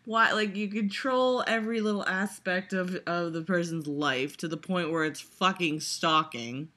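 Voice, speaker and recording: A woman's voice: lowish voice, measured pace, sharp tone. A woman vlogger giving a casual, exasperated, profane rant, full of fillers and restarts. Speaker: vlogger reviewing a trailer. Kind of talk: casual ranting reaction.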